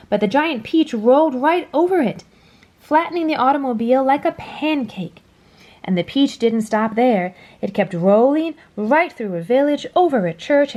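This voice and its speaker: A woman reading a children's story aloud in a dramatic storytelling style, dropping to a hush at phrase endings. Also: a little high. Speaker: storybook reader. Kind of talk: expressive children's read-aloud narration.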